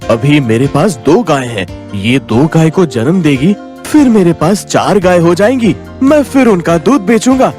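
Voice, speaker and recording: Woman putting on a low, hopeful village milkman. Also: animated, measured pace, a little mellow, faint music behind. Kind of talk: children's story character voice.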